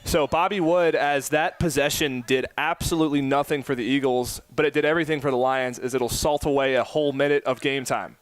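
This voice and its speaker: A man in his early twenties doing live play-by-play commentary for a college soccer match from an outdoor stadium. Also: medium pitch. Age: 20s. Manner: rapid play-by-play that climbs to excited shouting.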